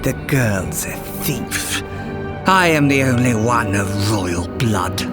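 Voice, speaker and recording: A man acts out a wicked tyrant queen from a fairytale. The voice drops low and drags slowly, imperious and seething with fury. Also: expressive, loud music behind the voice. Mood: raging. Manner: slow, furious, imperious.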